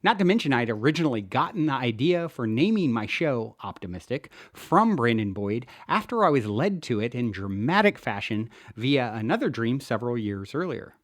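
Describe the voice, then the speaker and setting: A man reads his own self-help book aloud as a narrator, with an earnest, expressive delivery. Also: medium pitch, normal speed, few pauses, dry recording, clean studio sound. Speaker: author and show host reading own book. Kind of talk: audiobook narration, first-person self-help.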